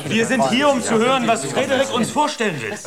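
An excited, ceremonious host in a radio drama, making an announcement. The man's voice is high, with a loud crowd around it. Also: quick pace. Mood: festive, eager. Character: excited male host of a shipboard recital. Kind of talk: German radio drama acting.

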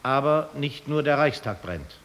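A male voice of medium pitch plays a 1930s news announcer in a radio play. He proclaims the bulletin gravely and formally, with clipped emphasis. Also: lively intonation, measured pace, a bit bright, a little hiss or hum.

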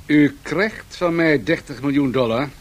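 An actor playing a shrewd, unyielding Swedish business magnate who bargains in a calculated way, in radio drama style. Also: male voice, on the high side, measured pace. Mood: firm, bargaining. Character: shrewd, unyielding Swedish match tycoon negotiating huge deals. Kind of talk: 1970s Dutch radio drama acting. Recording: noisy 1970s radio drama recording.

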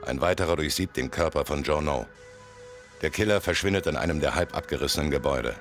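A man's voice: a bass voice, quick pace. An actor playing a tough, laconic gangster recounting his rise in a German radio play. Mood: grim, detached. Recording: music behind studio radio play.

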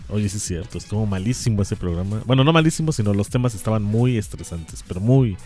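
Mexican male podcast co-host, joking and informal, darkish low voice, light music underneath. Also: lively intonation, fast, a little mellow. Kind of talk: casual chat.

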